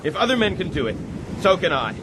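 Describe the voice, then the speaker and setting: A man's voice: high-pitched, very animated, quick pace. An actor playing a proud, determined but inexperienced castaway man in a period radio drama, blunt and protective, with jaunty bravado. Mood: stubborn, wry. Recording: clear outdoor sea and boat noise.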